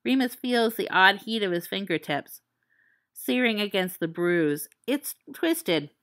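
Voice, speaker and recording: A woman reading fan fiction aloud as an audiobook, in a calm, measured, soft manner. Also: mid-range voice. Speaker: podfic reader.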